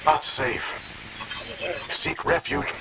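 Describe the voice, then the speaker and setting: Grave ancient warning recording in a game, really slow, broken up, buried in loud noise. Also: male voice, a little high, fairly even intonation. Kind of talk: video game voice acting, fragmented announcement. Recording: very noisy, degraded radio-like transmission.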